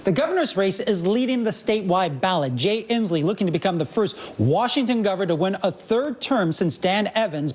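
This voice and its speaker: A man with an American accent reading scripted broadcast news as an anchor. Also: sits high.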